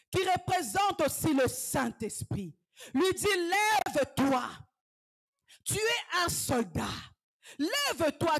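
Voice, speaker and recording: Woman evangelist, slow deliberate delivery, fervent sermon with hushed and shouted passages. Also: high voice. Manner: fervent preaching, swings from hushed whispers to shouted exhortations. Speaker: evangelist preacher.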